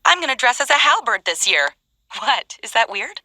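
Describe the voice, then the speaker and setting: A forthright young fantasy game heroine, with a very bright, lively voice. She sounds confident and spirited, recorded dry. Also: a little high, moderate pace, no room sound, full character voice. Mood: bold, cheerful. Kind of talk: video game character voice acting.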